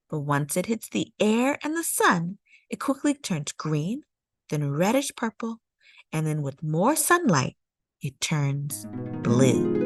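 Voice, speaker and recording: A woman narrating a children's nonfiction picture book as a storytime reader, with measured, deliberate phrasing. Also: mid-range voice, dry recording, clean studio sound. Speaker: storytime read-aloud host.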